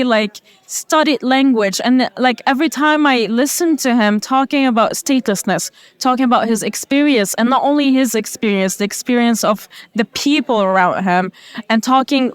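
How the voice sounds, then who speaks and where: Woman, crisp clear voice, fairly high, enthusiastic, dry sound. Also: dry recording. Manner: warm and enthusiastic, with casual fillers. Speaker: network member. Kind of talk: interview testimony.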